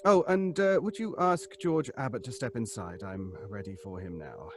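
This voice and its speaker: A man playing a measured doctor in an audio drama. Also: mid-range voice, noisy background, lightly acted. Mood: clinical. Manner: measured, clinical. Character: Doctor McLean, measured psychologist.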